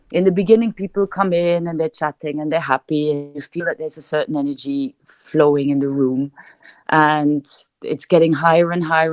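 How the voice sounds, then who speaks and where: Young Belgian-raised DJ woman, lowish voice, dry close sound, reflective and halting. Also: darkish tone, no room sound. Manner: reflective, many restarts, speaks of feeling and energy. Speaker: DJ guest. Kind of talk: podcast interview.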